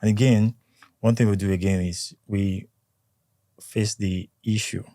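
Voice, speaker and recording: A young Nigerian man with a Nigerian accent and a low, warm voice. He speaks calmly and in a measured way as an interview guest. The recording is dry. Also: dry recording. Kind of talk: conversational interview.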